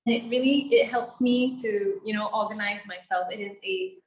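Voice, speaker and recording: A woman from Singapore, a singer answering at a press conference, thoughtful and halting. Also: mid-range voice. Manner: thoughtful, halting, searching for words. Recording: roomy echo.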